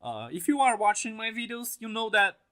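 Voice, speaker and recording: A young man whose first language is Portuguese, excitedly chatting to camera about an anime, swearing and laughing often, on a stand mic set a little back. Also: high voice, fairly quick, clear, resonant tone. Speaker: anime reaction channel host. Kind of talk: casual reaction commentary to camera. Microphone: mic on a stand, set a bit farther than usual.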